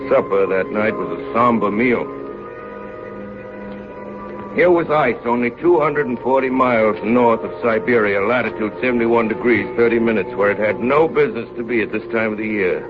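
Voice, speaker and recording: An actor playing a sober seafarer who narrates a polar voyage from his journal in measured 1930s radio style. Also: lowish voice, music in the background, close to an everyday voice. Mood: grave, matter-of-fact. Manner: measured, grave storytelling. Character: seasoned, sober ship's engineer recounting a polar voyage. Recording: muffled, hissy 1930s radio recording.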